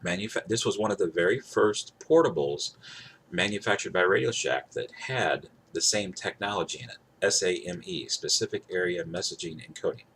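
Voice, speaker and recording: A man with a low voice talks casually to camera as he demonstrates a device. He rambles, circling back to the same points with emphasis. Some noise sits behind his voice. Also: normal speed, a bit bright. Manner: repeats himself, rambling, emphatic. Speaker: gadget reviewer. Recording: clear background noise.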